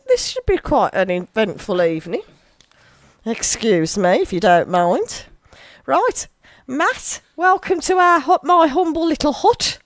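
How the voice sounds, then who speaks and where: An English woman hosting a local radio show, chatting casually and giggling, with a slightly gravelly voice tonight. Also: high voice, no echo. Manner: chatty, giggly, talks over music. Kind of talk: casual chat. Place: small local radio studio.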